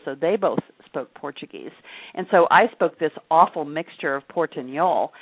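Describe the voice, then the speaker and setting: A woman's voice: low voice, no room sound. A woman hosting an interview, chatting and telling stories in a loose, talkative way with many restarts. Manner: chatty, storytelling, frequent restarts. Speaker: host. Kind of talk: casual interview talk. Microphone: phone. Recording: tinny phone line.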